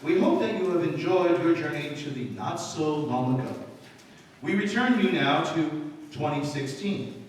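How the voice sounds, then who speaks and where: A man announcing in a measured, formal, scripted radio broadcast style. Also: on the high side, animated. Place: hall with live audience. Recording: very echoey hall from far off, faint noise.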